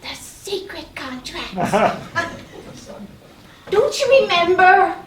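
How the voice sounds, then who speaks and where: A scheming, gleeful goddess of the sea played by a woman on stage, very high and swooping in pitch. Her delivery is coy and theatrical and extremely unhurried. She is heard from a distance in an echoey playhouse. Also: huge pitch swings, fairly bright tone, full character voice. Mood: sly. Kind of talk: live stage comedy acting. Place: small playhouse stage, live performance. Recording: distant echoey theater recording, faint noise.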